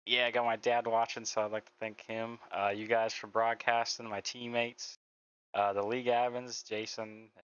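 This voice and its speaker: A man, a sim racing driver, talking casually and haltingly about his race over voice chat. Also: lowish voice, clean tone. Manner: relaxed, halting, lots of "like" and "uh". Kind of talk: casual post-race interview. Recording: dry, dull voice-chat audio.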